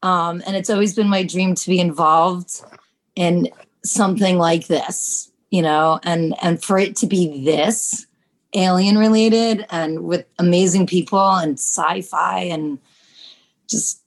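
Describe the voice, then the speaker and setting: A woman's voice: lowish voice, slow. A woman chatting casually and earnestly in a roundtable, gushing and peppering her talk with "like" and "you know". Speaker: podcast team member and short film actor.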